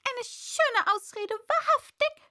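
A woman's voice: extremely high pitch, expressive, a little slow, pushed and bright, voice pushed up, full character voice. A woman voicing an indignant, scornful mother pigeon in a storybook, screeching and aggrieved as she guards her nest. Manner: scornful, accusing, exclamatory. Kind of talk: storybook character voice.

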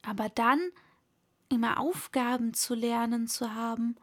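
A woman's voice: fairly high pitch, steady tone, unhurried. A woman voicing an earnest young storybook girl who muses aloud and scolds herself. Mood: wistful.

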